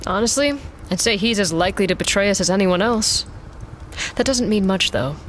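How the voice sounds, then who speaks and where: An actress playing a tough, sardonic robot pilot in a science fiction audio drama, talking in a dry, blunt, casual manner. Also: female voice, medium pitch, fairly quick, a little hiss or hum, natural voice. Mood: wry, matter-of-fact.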